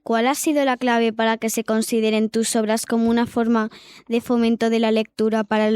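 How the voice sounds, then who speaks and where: High-voiced Spanish female interviewer. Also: level tone, brisk, darkish tone, clear, resonant tone, no room sound. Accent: Castilian Spanish. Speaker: volunteer interviewer. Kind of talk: prepared interview questions and light banter.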